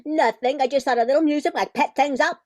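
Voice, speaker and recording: Lady doing a squeaky high munchkin, cheeky and put-upon, dry close sound, radio play. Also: darkish tone, no room sound. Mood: sheepish. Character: cheeky, grumbling, overworked Munchkin who likes singing. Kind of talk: amateur radio play acting.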